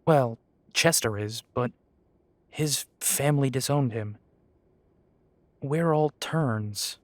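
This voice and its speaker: A man's voice: mid-range voice, slow, dry recording, natural voice. A man voicing an earnest young werewolf. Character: young male werewolf pack leader. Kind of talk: amateur audio drama acting.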